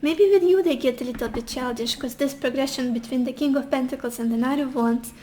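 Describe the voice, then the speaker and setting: A woman with a high voice that has gone hoarse, as if she is losing it. She gives a relaxed tarot reading, talking gently and reassuringly straight to her listener, with a faint hiss in the background. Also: fairly quick. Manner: gentle, reassuring, addresses the viewer directly. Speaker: tarot reader. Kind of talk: casual pick-a-card tarot reading.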